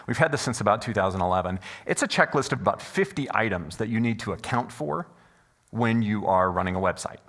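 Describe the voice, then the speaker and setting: A man giving a loud, animated conference presentation to an audience from a stage microphone. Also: fairly low pitch, a little fast. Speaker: conference speaker. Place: conference room before an audience.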